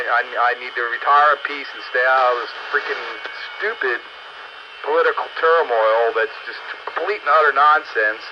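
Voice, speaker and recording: American guy grumbling bluntly, folksy talk, muffled lo-fi sound w lots of noise. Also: medium pitch. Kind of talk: casual opinionated chat. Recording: dull lo-fi audio with clear background noise.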